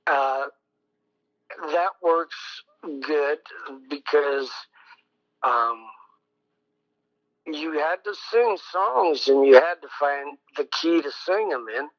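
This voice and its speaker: Older man, slow rambling storyteller. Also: lo-fi sound. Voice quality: fairly high voice. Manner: slow, rambling, many ums and pauses. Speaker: songwriter guest. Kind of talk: reflective oral history interview.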